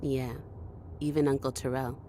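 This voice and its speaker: A woman playing a deadpan, grudge-holding young killer in a horror-comedy audio drama. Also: a bass voice, moderate pace, a little hiss or hum. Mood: grimly dry.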